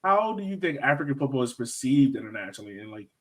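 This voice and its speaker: A man with a medium-pitched voice hosts a podcast in a casual, chatty style. His phrasing is loose and informal, as in a relaxed conversation between two people. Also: fast. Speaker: podcast host.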